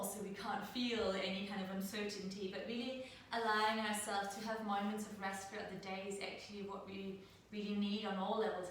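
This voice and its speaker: A middle-aged woman from Denmark leading a guided yoga class over a live video call, speaking in a soft, soothing way with slow, drawn-out cues. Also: mid-range voice. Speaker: yoga teacher. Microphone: laptop mic at a distance. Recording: very echoey room, distant mic, faint noise.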